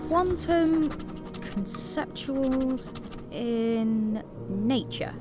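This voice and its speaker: An actress voicing a woman who reads out catalogue titles in a mystery game, in a measured, deliberate way. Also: sits high, animated, heavily acted. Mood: measured, formal. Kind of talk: scripted game voice-over. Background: game music.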